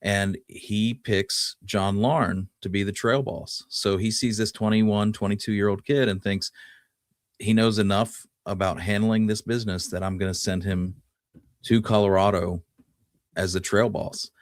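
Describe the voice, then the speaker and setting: Man, low voice, history storytelling, conversational, halting delivery, dry studio sound. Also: steady tone, no echo. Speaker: podcast co-host.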